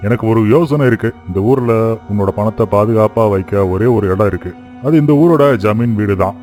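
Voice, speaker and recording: Low-voiced, kindly village employer played by a man. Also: dark tone, soft background music, natural voice. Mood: advising. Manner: measured, authoritative, benevolent. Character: kindly, practical older village farmer and cattle-owning employer. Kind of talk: animated moral story dialogue.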